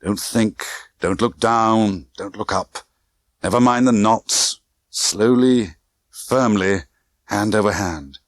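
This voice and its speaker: A man's voice: lowish voice, slow, dry recording, clean studio sound. A man reading a thriller audiobook in a tense, theatrical manner with clipped short phrases. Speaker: audiobook narrator. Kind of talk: dramatic scripted narration of a spy thriller novel.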